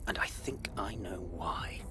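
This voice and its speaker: A man playing a grave, guarded intelligence analyst in a video game, speaking in formal, measured phrases. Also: low voice, whispered. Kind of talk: cinematic video game acting.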